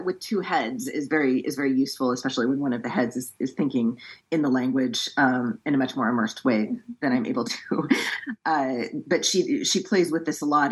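Woman translator, native English speaker, deep and breathy, reads verse and then talks it through carefully. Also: low-quality recording. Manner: thoughtful, measured explaining with frequent restarts. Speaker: poetry translator. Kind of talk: poetry reading and book-event discussion.

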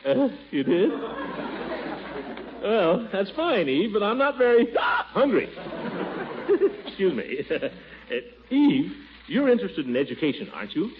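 An actor playing a pompous, blustering middle-aged bachelor who hems and stammers, in 1940s radio acting. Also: male voice, high voice, sing-song, takes it slowly, pauses often, a crowd in the background, background noise, full character voice. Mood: pleading. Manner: hemming, stammering, coaxing. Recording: muffled vintage radio broadcast.

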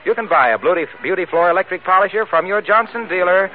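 A man's voice: sits high, fast talker. An American man announcing in polished, emphatic 1940s radio advertising style. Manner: polished, emphatic sales delivery. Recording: tinny, hissy old radio broadcast.

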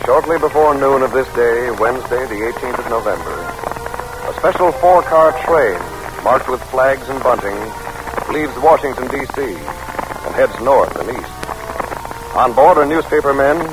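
A man announcing and narrating in 1940s radio style, formal, measured and dramatic. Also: mid-range voice, animated, poor audio. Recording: old radio recording with music.

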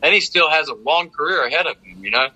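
Bright North Carolina Southern man talking sports. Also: a little high, lively intonation, quick pace, poor audio. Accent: North Carolina Southern American. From North Carolina, United States. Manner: rambling, repeats phrases for emphasis. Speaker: sports fan panelist. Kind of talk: casual sports chat.

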